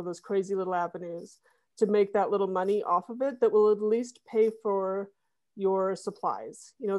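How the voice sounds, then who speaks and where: A woman's voice: medium pitch, warm tone. A woman guest on a podcast, explaining things casually and conversationally in a wordy, rambling way.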